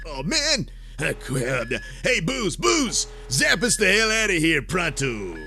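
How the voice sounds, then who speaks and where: A man's voice: high-pitched, big pitch swings, measured pace, gravelly, bright, forceful tone, recorded from a distance, a little hiss or hum, exaggerated voice. A man voicing a brash, bossy, impatient space-trucker captain in a comic audio cartoon. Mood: urgent. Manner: stammers when flustered.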